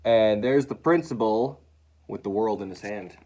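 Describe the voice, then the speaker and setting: A man reading a children's story aloud in an expressive way, acting out sounds and dialogue. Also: lowish voice, normal speed.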